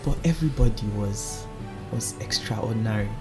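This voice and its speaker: A man, a singing contest finalist, telling stories in a playful, animated way on the radio, laughing often. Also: lowish voice, normal speed, over music. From Nigeria. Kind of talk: casual radio interview.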